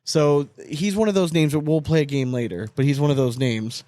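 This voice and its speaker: A man's voice: fairly high pitch, fairly quick, dark tone, no echo. A man co-hosting a sports podcast in casual chat, candid and loose, swearing freely and laughing between points. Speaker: podcast co-host.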